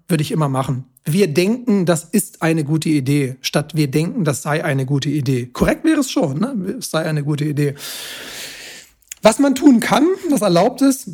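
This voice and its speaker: A language nerd at a podcast table, a higher-voiced man with some huskiness, chattily picking over fine points. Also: a little mellow, breathy edge. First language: German. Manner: self-confessed language nerd, pedantic yet chatty. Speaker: podcast host explaining grammar. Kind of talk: casual explanatory talk.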